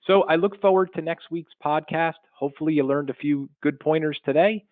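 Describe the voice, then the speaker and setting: A man's voice: on the high side, fairly quick, no room sound. Middle-aged man, phone-like muffled sound. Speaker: podcast host and marketing consultant. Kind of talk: informal advice podcast monologue.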